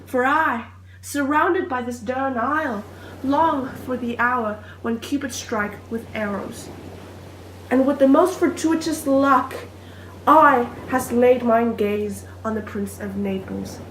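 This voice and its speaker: A woman's voice: a little high, slow, a bit bright. A young woman performing an innocent, lovestruck maiden in an earnest, theatrical Shakespearean soliloquy. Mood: pleading. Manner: earnest, declamatory, archaic diction. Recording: echoey room with faint noise.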